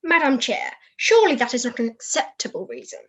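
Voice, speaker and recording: A woman's voice: a little high, huge pitch swings, fairly quick, a bit bright. A young woman acting a prim, indignant puppet who is a stickler for procedure in an amateur puppet show.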